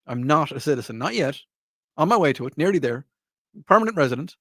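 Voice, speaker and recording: A man's voice: on the high side, expressive, fast, sharp tone, breathy edge, no echo, clean studio sound. An Irish man hosting a talk radio show, rambling and repeating himself with a mocking tone and frequent laughs. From Ireland. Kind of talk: casual talk radio monologue.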